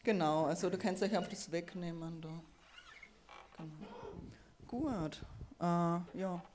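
A woman, an event organizer, speaking quickly and casually with short affirmations. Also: lowish voice, a little mellow, slight background noise. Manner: quick, affirming, laughs between phrases. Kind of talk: casual live talk.

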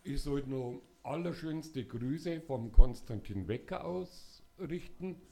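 An older man, hoarse, speaking casually and haltingly to a live audience as a singer-songwriter. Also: medium pitch, animated, warm tone. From Bavaria, Germany. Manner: halting, many filler sounds. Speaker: singer-songwriter introducing an event.

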